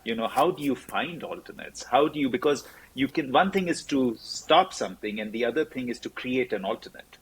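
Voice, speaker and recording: A man's voice: mid-range voice, lively intonation, brisk, hardly any pauses, husky, low-quality recording. A man hosting a podcast interview, rambling and repeating words as he works his way toward his question. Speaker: podcast host.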